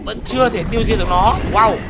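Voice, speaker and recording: Excited gamer host doing gameplay commentary, chatting to viewers like buddies, music behind. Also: medium pitch, fast. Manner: exclamatory, addressing viewers as friends. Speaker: gaming video host. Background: background music.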